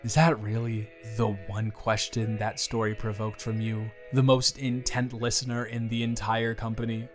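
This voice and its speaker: A man's voice: mid-range voice, completely flat delivery, moderate pace, few pauses. Earnest man confiding, music behind. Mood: candid, teasing. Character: earnest, conflicted male boss confiding in an employee. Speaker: audio roleplay performer. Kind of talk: intimate audio roleplay acting, conversational.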